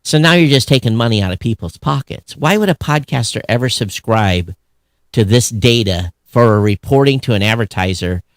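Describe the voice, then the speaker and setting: A man's voice: medium pitch, animated, moderate pace, no echo, studio quality. A man talking casually and bluntly as a podcast co-host about his industry.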